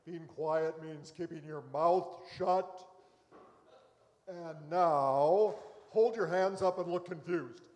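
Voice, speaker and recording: Man acting a smug high-pitched would-be showman. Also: takes it slowly, a little mellow. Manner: brash, condescending, showbiz patter. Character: smug, pushy church stewardship chairman turned showy vlogger. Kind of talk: live comedy radio play acting. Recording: echoey hall with long reverb.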